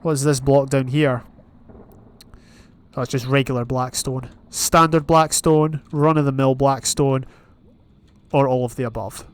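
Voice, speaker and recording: A man's voice: medium pitch, fairly even intonation, measured pace, clean tone. A young Scottish man casually commentating his own game, thinking aloud and reading out achievements as he plays. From Scotland. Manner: chatty, thinking aloud, reading advancements while playing. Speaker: gaming YouTuber. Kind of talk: casual Minecraft let's play commentary.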